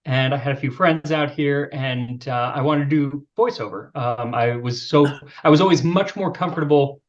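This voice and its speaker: A man with a youthful-sounding voice talks as a podcast guest. His speech is relaxed and self-deprecating, with plenty of fillers. The recording sounds a little dull and lo-fi. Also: medium pitch, moderate pace. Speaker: podcast guest, video creator. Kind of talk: casual interview chat.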